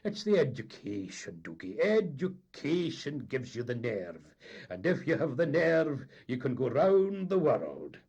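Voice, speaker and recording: A man voicing a vain, boastful Highland boat captain with a Scottish accent. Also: very animated, measured pace, a little mellow, husky, muffled recording, full character voice. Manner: blustering, boastful.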